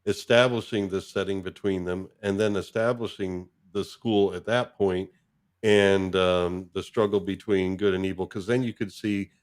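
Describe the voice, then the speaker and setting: A man with a low voice talks through films in a casual podcast conversation. He speaks haltingly, working out his thoughts as he goes. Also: fairly even intonation. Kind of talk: casual film discussion.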